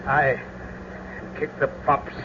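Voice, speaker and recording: Man playing an urgent young gentleman, tinny radio. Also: slow pace, lightly acted. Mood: agitated. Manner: urgent, formal. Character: loyal young gentleman bearing grave news. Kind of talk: classical tragedy radio acting. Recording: thin, noisy old radio recording.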